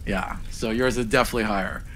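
A man's voice: lowish voice, steady tone, fast talker, a bit bright, a little hiss or hum. A man at a tabletop game, casually reporting rolls and describing his characters.

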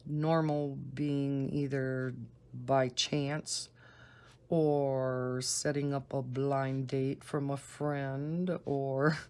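A woman with a Northern American accent and a low, soft voice, chatting casually and unhurriedly. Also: level tone. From northern United States. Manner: unhurried, deliberately slow talking. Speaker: coloring video host. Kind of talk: casual rambling chat while coloring.

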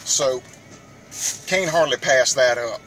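A man's voice: medium pitch, takes it slowly, fairly bright tone, soft background music, low-quality recording. A middle-aged man giving casual how-to vlog talk, folksy and speaking directly to viewers. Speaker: outdoors and shooting vlogger.

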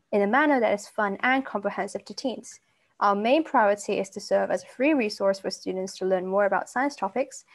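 Teenage girl, webinar host, polite, partly scripted, video call. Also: mid-range voice. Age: teens. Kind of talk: hosting a webinar. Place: home room on a video call. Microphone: laptop mic on video call.

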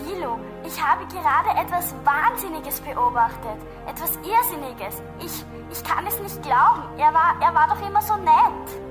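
An actress playing an excitable, curious young girl detective in a German children's radio play, telling things earnestly. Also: very high voice, normal speed, few pauses, music in the background. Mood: agitated. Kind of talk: German children's audio drama acting.

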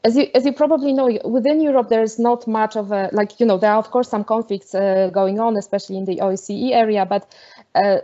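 A woman from Poland with a Polish accent, giving an online lecture over a video call, often pausing with fillers and restarts. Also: medium pitch, fairly even intonation, warm tone, muffled recording. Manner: hesitant, many fillers and restarts. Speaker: webinar speaker.

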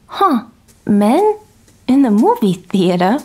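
A woman playing a chatty, gently needling housewife in a 1950s radio drama, wry and expressive. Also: a little high, takes it slowly, breathy edge, exaggerated voice. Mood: puzzled, teasing. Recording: music behind the voice.

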